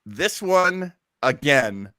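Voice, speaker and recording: A man's voice: a little high, big pitch swings, very slow, sharp tone, no room sound, clean studio sound. A man chatting casually as the host of a listening party, commenting as he goes.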